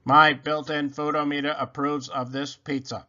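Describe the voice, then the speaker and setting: A man voicing a calm, logical, polite robot with a formal, deliberate manner, for a children's storybook. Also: fairly high pitch, lo-fi sound. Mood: pleased, polite.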